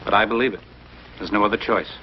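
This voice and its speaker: A man's voice: fairly low pitch, fast, close to an everyday voice. A man playing a cautious, plain-spoken industry expert in an old TV drama, giving considered, matter-of-fact opinions. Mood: sober, candid. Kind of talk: mid-century American TV drama acting. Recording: dull, noisy vintage TV soundtrack.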